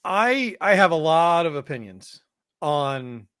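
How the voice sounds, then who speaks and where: Man, podcast co-host, opinionated, emphatic, fairly high voice, dry close sound. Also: sing-song, slow pace, fairly bright tone, no room sound. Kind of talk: casual chat.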